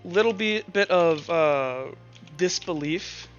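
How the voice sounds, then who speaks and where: A man narrating a tabletop roleplaying game as game master, improvising haltingly as he goes. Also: on the high side, lively intonation. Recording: dull lo-fi audio under music.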